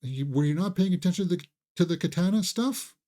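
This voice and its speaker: A man's voice: high voice, expressive, fairly quick, warm tone. A man hosting a casual, conversational film review, enthusiastic and opinionated, sometimes repeating words as he thinks aloud.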